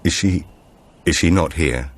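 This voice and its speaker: A man's voice: rumbling low, measured pace. A man voicing an anxious, insistent father who speaks in terse, demanding phrases in an audiobook thriller. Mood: shaken, terse. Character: anxious, insistent husband and father fearing bad news.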